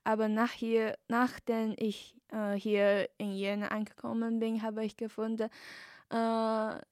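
A young woman from Taiwan, a guest student, answering podcast interview questions in careful learner's German, with many pauses, fillers and restarts. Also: a little high, little pitch movement, a little mellow, smooth, no echo, clean studio sound.

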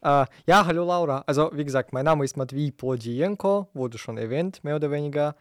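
Chatty young man, smooth voice. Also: fairly high pitch, brisk, no room sound, clean studio sound. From Ukraine. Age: young adult. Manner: chatty, many fillers and self-corrections. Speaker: student guest. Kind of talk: casual interview talk. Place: small studio.

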